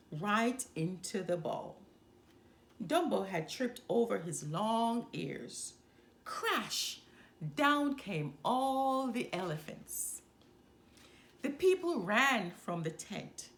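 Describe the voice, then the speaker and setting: A woman reading a children's story aloud as a storyteller, with expressive, deliberate delivery. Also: mid-range voice. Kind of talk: expressive read-aloud narration.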